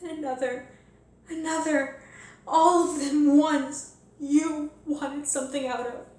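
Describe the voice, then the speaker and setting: A woman playing a bitter, wounded young Southern daughter in a stage monologue, deliberate, pleading and accusatory, with heavy pauses. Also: high voice, steady tone, darkish tone. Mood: bitter, accusing. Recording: echoey room, faint noise.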